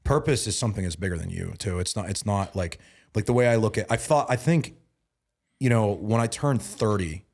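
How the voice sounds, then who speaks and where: A man in his thirties talking as a guest on a casual podcast. He sounds earnest, repeats himself and restarts a lot, and grows emphatic and louder when he starts preaching. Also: on the low side, fairly quick, creaky. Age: 30s. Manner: repeats words and restarts often. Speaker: guest, bodybuilding and mindset coach.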